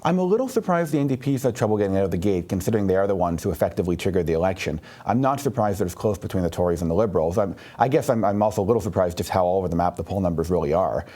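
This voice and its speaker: Man, political columnist, very fast, low pitch, mellow dark tone, hedging, filler-heavy. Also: lively intonation. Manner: rapid, hedging, many fillers. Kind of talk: analytical interview answers.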